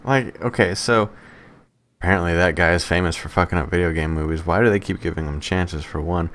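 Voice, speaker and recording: Deep-voiced man in casual banter. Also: fairly quick, fairly bright tone, a little hiss or hum. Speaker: podcast co-host.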